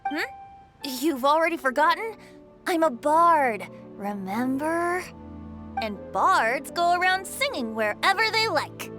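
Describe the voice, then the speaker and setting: Boyish cheerful bard, theatrical game dialogue, unhurried, playful, faint music behind. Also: female voice, high-pitched, very animated, a bit bright, full character voice. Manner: theatrical, lilting, breezy. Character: cheerful, playful, flattering young male bard.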